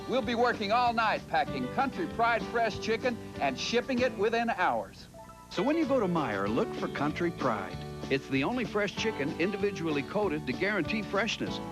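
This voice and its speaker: Lively male ad announcer over music. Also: high-pitched. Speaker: commercial announcer. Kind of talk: scripted radio-style ad read.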